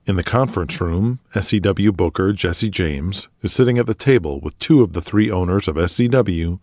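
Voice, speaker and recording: A man's voice: low-pitched, expressive, normal speed, darkish tone, no echo, studio quality. A man reading dramatic scripted narration as the narrator of an audio drama.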